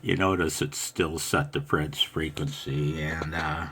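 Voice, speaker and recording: A man's voice: extremely low pitch, steady tone, a little slow, fairly bright tone, slight background noise. A man who is an amateur radio operator and vlogger, talking casually with playful, jokey grumbling. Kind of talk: casual chat and radio contest calls.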